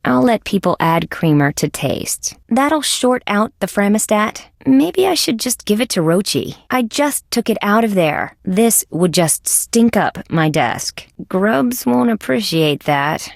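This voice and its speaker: A woman's voice: mid-range voice, creaky, no echo. A woman voicing a wry, sardonic female insect police detective in a video game, with dry, sarcastic wisecracking delivery. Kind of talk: scripted adventure game voice acting.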